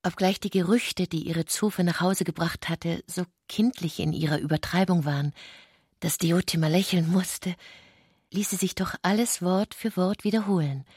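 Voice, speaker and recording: A woman narrating literary prose for a radio play in a breathy voice. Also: fairly low pitch, darkish tone, dry recording, studio quality.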